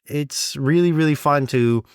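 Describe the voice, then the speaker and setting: A man's voice: a little high, measured pace, clear, resonant tone, no echo. Man explaining casually, dry close sound. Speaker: audio drama sound designer, podcast co-host.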